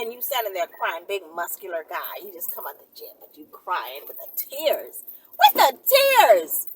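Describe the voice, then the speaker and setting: A woman host talking casually to her viewers in an emphatic, sassy way, often checking in as she goes. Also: high voice. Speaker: channel host answering viewer questions. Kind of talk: casual, animated monologue to viewers. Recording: noisy, distant-sounding mic.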